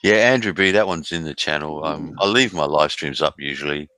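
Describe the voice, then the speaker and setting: Deep-voiced bantering man. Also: a little fast, no room sound, poor audio. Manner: relaxed, bantering, swears casually. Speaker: live stream host. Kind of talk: casual chat.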